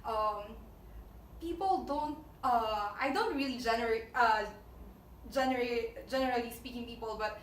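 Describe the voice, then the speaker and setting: Hesitant high-voiced woman in an echoey room. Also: measured pace, slight background noise. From Japan. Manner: hesitant, many fillers and restarts, laughs often. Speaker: company staff guest. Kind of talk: casual conversational advice. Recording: echoey room, mic at a distance.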